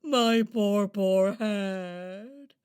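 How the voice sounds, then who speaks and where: A woman voicing a sleepy, kindly hedgehog in a children's radio play, with dramatic, drawn-out exclamations. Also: mid-range voice, a little mellow, clean tone, pitched below the performer's own voice. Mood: groggy, aggrieved.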